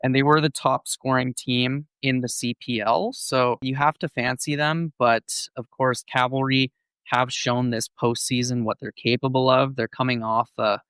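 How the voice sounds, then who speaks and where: A man's voice: medium pitch, fairly even intonation, normal speed, fairly bright tone, smooth, no room sound. A man co-hosting a casual sports podcast, explaining things fluently. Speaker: podcast co-host.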